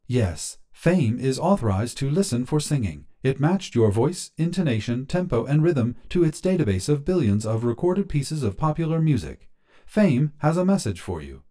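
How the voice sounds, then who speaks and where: A helpful female virtual assistant from a storybook tale , read by a man, mellow and polite. The delivery is scripted and mildly upbeat, with lifted, lively pitch. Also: moderate pace, close to an everyday voice. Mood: informative.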